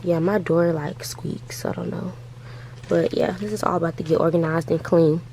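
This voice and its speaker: A young woman vlogger with a raspy, hoarse voice as she loses it, chatting loosely to camera from her bedroom, full of "like" and "um". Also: lowish voice, steady tone, normal speed, mellow, a little hiss or hum. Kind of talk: casual chat to camera.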